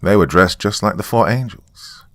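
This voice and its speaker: A man narrating a dramatic first-person story in a deliberate, folksy storytelling manner. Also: on the low side.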